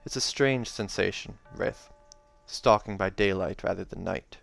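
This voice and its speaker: Man voicing a soft, sharp-edged young warrior woman. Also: low-pitched, vocal fry, dry recording, faint music behind, full character voice. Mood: cold, vindictive. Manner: speaks in an accent, grim and measured. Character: vengeful young female warrior, soft-spoken but sharp.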